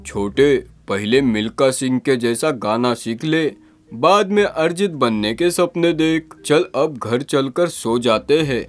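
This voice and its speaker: Bossy grumbling older male cartoon character, played by a man, slow drawl, scolding, teasing, music underneath. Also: mid-range voice, close to an everyday voice. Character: bossy, grumbling older male comic partner Bade Miyan. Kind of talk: comic animated moral story dialogue.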